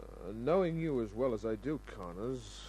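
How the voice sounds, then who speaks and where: A man's voice: mid-range voice, expressive, moderate pace, dark tone, natural voice. An Australian man acting a wry, tough, flirtatious private investigator in a laconic 1950s radio crime drama style. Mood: wry, casual. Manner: laconic, wisecracking. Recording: noisy old radio recording with hum.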